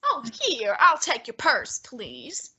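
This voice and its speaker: A woman playing a portly, imperious male detective genius in a student radio drama, measured and condescending. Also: high-pitched, wildly swooping pitch, sharp tone, breathy edge, heavily acted. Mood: commanding. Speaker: student actor.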